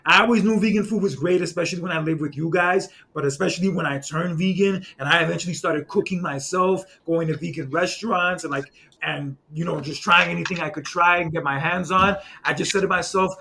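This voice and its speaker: A man's voice: high-pitched, fairly quick, few pauses, bright, forceful tone, slight background noise. A man hosting a casual podcast, laid-back and swearing as he chimes in on the conversation. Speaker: podcast host.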